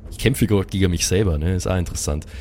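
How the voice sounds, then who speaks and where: A man improvising the narration of a tabletop role-playing game, descriptive and pausing as he invents. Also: sits low, warm tone, a little hiss or hum. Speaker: game master.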